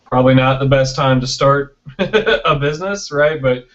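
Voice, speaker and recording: A man's voice: mid-range voice, moderate pace, a bit bright. Male interview guest, conversational, explanatory, frequent "you know" and "right?", muffled lo-fi web call sound. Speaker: interview guest, video producer. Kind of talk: casual video-chat interview. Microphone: built-in webcam microphone on video call. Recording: dull lo-fi web video call.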